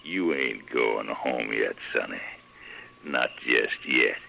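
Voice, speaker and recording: Gravelly, very deep-voiced western bully. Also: male voice, slow, music in the background, heavily acted. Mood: mocking menace. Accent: American Western drawl. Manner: taunting, threatening folksy talk. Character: brutal, bullying drunken outlaw, menacing and sly. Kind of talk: old-time radio western acting. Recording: very noisy, crackly old radio broadcast.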